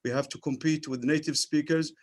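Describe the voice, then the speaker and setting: A man's voice: fairly high pitch, steady tone, fairly quick. Earnest man giving a community speech. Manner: earnest, repetitive oratory with rhetorical lists. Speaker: community organizer and host. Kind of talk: formal welcoming speech.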